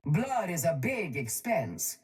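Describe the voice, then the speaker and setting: An actress playing a cold, posh, ruthless crime-boss tycoon in a video game, speaking in a haughty, deliberate, menacing way. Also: female voice, on the low side, very animated, over a noisy crowd. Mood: dry, imperious. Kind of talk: video game character voice lines.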